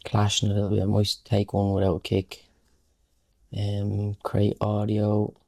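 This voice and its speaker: A man's voice: lowish voice, completely flat delivery, measured pace, creaky, mellow. A man giving a casual, unscripted music production tutorial, thinking aloud informally as he works. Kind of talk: casual unscripted tutorial talk while working.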